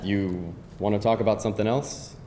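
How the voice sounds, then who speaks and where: A man's voice: lowish voice, steady tone, normal speed, warm tone, close to an everyday voice. An actor playing a quirky, dryly teasing man on a date in a live screenplay table read. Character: quirky atheist man on a date, secretly devoted churchgoer. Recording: echoey live room, faint noise.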